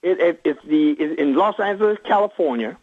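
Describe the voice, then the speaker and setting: Husky, high-voiced man on a phone line. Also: lively intonation, fairly quick. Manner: emphatic, repeats phrases for force. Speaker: reverend and activist, radio phone-in guest. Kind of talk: impassioned political interview talk. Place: on a phone line to a radio show. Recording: muffled, phone-like radio call-in line.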